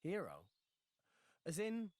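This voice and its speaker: Teenage boy, high pitch, animated intonation, puzzled, earnest, curious, video game. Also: takes it slowly, no room sound, full character voice. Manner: puzzled, questioning.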